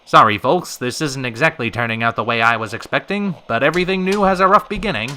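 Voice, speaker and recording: Man playing a bright, lively, wry radio host. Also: mid-range voice, fast talker, slight background noise. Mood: flustered, irritated. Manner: wordy, polished, dryly sarcastic. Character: wry, smooth-talking male radio host in a pulp city. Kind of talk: old-time radio show hosting.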